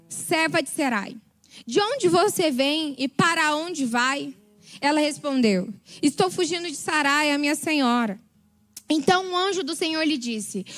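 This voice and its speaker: A woman preaching a passionate sermon with a Brazilian Portuguese accent, speaking with emphatic repetition and a slightly worn, hoarse voice. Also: sits high, a bit bright. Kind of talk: sermon testimony.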